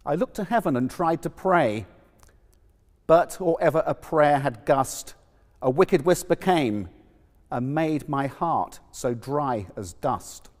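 A man giving a theatrical, measured poetry recitation with emphatic pauses. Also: a little high, expressive. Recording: long echoing hall reverb.

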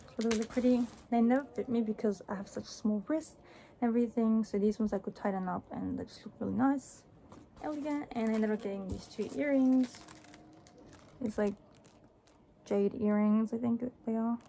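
A woman's voice: on the high side, normal speed, vocal fry, warm tone, low-quality recording. A young adult woman, chatting casually and offhandedly as a vlogger in a small room, rambling a little. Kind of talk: casual haul vlog chat. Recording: faint background noise.

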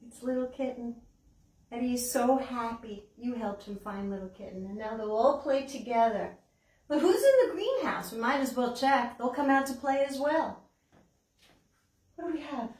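A woman's voice: on the high side, lively intonation, normal speed, a little mellow. An older American woman hosting a children's storytime, asking simple, encouraging questions as if to young children. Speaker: children's library storytime host. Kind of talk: children's storytime with puppets, songs and read-aloud. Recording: roomy, distant mic, background hiss.

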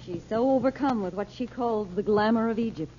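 A woman's voice: fairly high pitch, a little fast, mellow, lightly acted. An actress playing an anxious, doubting middle-aged mother in an old radio drama. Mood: worried, doubting. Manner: fretful, argumentative. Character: anxious, doubting American mother on a desert journey. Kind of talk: 1930s-40s radio acting. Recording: muffled, noisy old radio recording.

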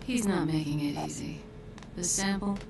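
A woman playing a cool, sly secret agent in a video game, unhurried and aloof. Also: deep voice, creaky. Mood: cool, guarded. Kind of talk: video game cutscene acting. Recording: roomy echo, music behind.

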